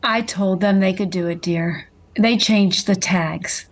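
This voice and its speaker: A woman's voice: mid-range voice, slow pace, lightly acted. A woman playing a concerned, affectionate housewife and mother in a radio drama. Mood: reassuring. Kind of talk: vintage radio drama acting.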